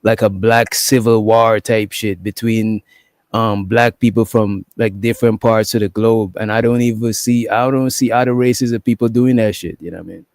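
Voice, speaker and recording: A man from Guyana joins an online talk stream as a guest DJ, his voice low and dry. He talks slowly and loosely, in slang, with plenty of filler sounds. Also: steady tone, a little mellow, no room sound. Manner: laid-back, slangy, many filler sounds. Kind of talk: casual online talk-show chat.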